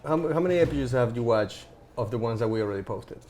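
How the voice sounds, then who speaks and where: A man's voice: medium pitch, animated, fast talker, mellow, a little hiss or hum. A man co-hosting a podcast in casual chat, playful and given to repeating his phrases. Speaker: podcast co-host.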